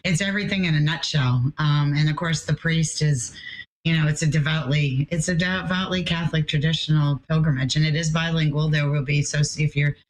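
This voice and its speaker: A woman with a raspy, hoarse voice, chatting casually as a guest tour coordinator and often pausing on fillers. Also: low-pitched, steady tone, rough recording. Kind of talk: casual interview chat.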